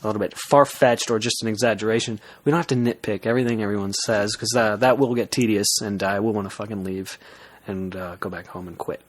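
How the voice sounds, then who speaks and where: A man's voice: on the low side, steady tone, quick pace. A man co-hosting a podcast in casual comic banter, with a matter-of-fact, slightly defensive way of talking. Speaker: podcast co-host.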